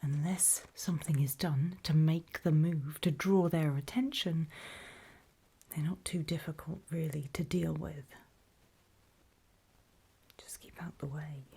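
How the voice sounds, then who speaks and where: A woman playing a weary, wary zombie apocalypse survivor in a halting, breathy whispered ASMR horror roleplay. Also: sits low, steady tone, dark tone, exaggerated voice. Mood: grim, hushed. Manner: halting, hesitant, slow and trailing off.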